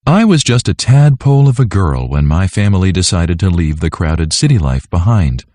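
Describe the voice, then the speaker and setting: A man with a mellow, low voice reads a children's story aloud. His delivery is animated and scripted, in a close, dry sound. He narrates clearly as a storyteller for young listeners. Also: normal speed, dry recording.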